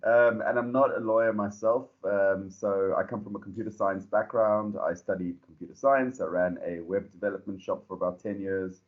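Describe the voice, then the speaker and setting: A South African man, a startup founder, answering podcast questions in a relaxed, rambling way full of fillers and restarts. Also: lowish voice, moderate pace, few pauses, lo-fi sound. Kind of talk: podcast interview.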